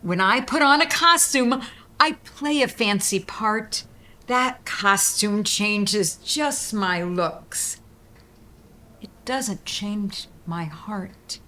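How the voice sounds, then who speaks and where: A woman hosting and reading children's poems aloud, with deliberate, emphatic phrasing made for young listeners. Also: medium pitch, lively intonation, pushed and bright. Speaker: host and poetry reader. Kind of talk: expressive read-aloud of children's poetry.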